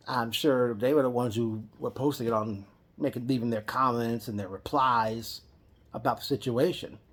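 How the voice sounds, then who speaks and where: A man talks through his reaction at a middling pitch, in an unscripted vlog style. He often stops to correct and restart his sentences. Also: darkish tone. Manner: rambling, with frequent restarts and self-corrections. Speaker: wrestling fan vlogger. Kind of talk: casual spoken reaction vlog.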